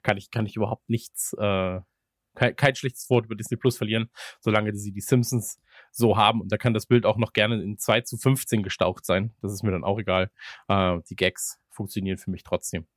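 A man's voice: on the low side, fairly even intonation, a little fast, dry recording. Rambling, jokey man, dry close sound. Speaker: podcast host. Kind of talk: casual chat.

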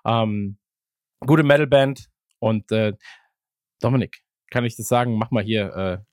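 A man's voice: on the low side, measured pace, no room sound. Rambling podcast man, dry sound. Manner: rambling, many fillers, laughs while talking. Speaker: podcast co-host. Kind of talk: casual podcast chat.

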